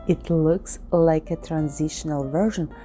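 Low, mellow-voiced woman tutorial host. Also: steady tone, measured pace. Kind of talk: tutorial voice-over with history. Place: indoor studio with painted backdrop. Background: soft background music.

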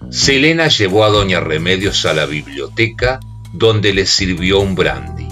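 A man's voice: low voice, pushed and bright. A man narrating a radio drama. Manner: dramatic storytelling.

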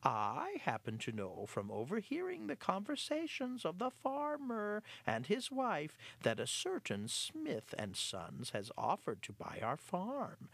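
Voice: medium pitch, gravelly, fairly bright tone, husky, no echo, voice pushed up, heavily acted. A storyteller voicing a sly, fat, spoiled male house cat who drops into smug whispers.

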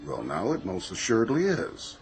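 An actor playing a brisk, impatient, authoritative male department store boss, officiously polite, in a radio play. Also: on the low side, huge pitch swings, vocal fry, faint music behind, exaggerated voice. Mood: patronizing, polite.

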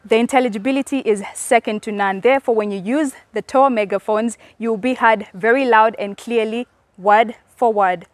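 A young woman giving a scripted product presentation to camera, explaining in a measured way. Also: a little high, no room sound. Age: young adult. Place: outdoors in a leafy garden.

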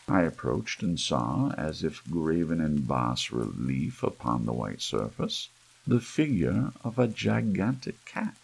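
A man with a very low voice narrates a gothic audiobook in an ASMR style. His tone is gravelly and husky, full of creak. He phrases with measured, dramatic pauses. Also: low-quality recording. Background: soft rain.

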